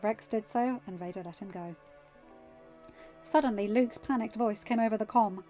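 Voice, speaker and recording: A woman's voice: medium pitch, normal speed, dark tone, faint music behind. A woman reading scripted fan fiction narration as an audiobook reader.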